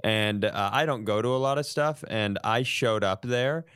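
Self-deprecating man, smooth and dry-recorded. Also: on the low side, normal speed, fairly bright tone, dry recording. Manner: self-deprecating, joking, swearing. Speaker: comedy podcast host. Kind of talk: casual comic storytelling and banter.

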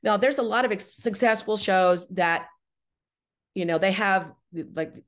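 A woman's voice: medium pitch, measured pace. A woman giving conversational interview answers as a guest writer-director, explaining step by step and often checking in with the listener. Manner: explanatory, often checking with "right?" and "you know".

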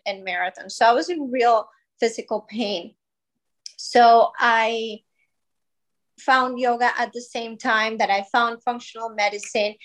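A woman's voice: on the high side, rough recording. A woman in her thirties from Colombia, telling her own story as a podcast guest in a candid, informal, conversational way. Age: 30s. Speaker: podcast guest, wellness business founder.

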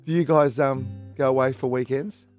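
A man's voice: mid-range voice, steady tone, normal speed, a little mellow, soft background music. A man with an Australian accent hosting a radio sports show in casual, blokey, joking chat. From Sydney, Australia.

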